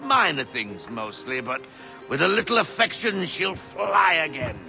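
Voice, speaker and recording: Gravelly, swooping, blustery old sailor. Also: male voice, a little high, big pitch swings, a little slow, full character voice. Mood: gruff, defensive. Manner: theatrical, swooping, emphatic delivery. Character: blustering, grizzled old sailor, proud of his ship. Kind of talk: video game cutscene acting. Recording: music behind the voice. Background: music.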